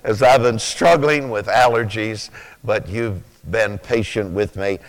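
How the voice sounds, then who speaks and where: Slow halting man in his sixties. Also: fairly low pitch. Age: 60s. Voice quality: rough, sleepy-sounding voice. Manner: slow and halting with many "uh"s, rising to fervent emphasis. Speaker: preacher. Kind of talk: sermon. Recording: reverberant hall.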